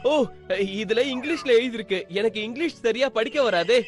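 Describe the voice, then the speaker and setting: A woman voicing a talkative, polite, fussy man in a children's cartoon, chatty and animated. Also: on the high side, brisk, loud music behind the voice, lightly acted. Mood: friendly, sheepish. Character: talkative adult male customer, polite, fussy. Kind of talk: Tamil-dubbed children's cartoon acting.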